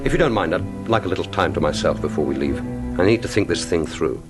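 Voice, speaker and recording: Cool spy, really deep voice, dry and understated, brooding, radio drama w/ loud orchestral music behind. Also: male voice, lively intonation, quick pace. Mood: guarded. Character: cool, wry male British secret agent, brooding and determined.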